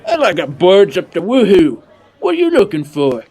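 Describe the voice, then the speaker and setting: A man's voice: sits high, wildly swooping pitch, normal speed, darkish tone, faint music behind, pitched above the performer's own voice, full character voice. A man voicing a brash, porky, frog-mouthed male street vendor in a tabletop fantasy game. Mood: brash, hawking.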